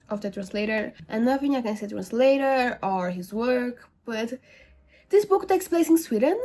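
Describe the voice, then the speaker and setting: A woman's voice: on the high side, a little mellow. A woman whose first language is not English, giving a casual, rambling book review with many fillers and self-corrections. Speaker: book vlogger.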